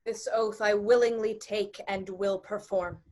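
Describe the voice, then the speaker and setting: A woman's voice: medium pitch, fairly even intonation, measured pace, darkish tone, natural voice. A woman playing a formal, proud duke in a Shakespearean verse drama. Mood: formal resolve. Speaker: actor in staged reading.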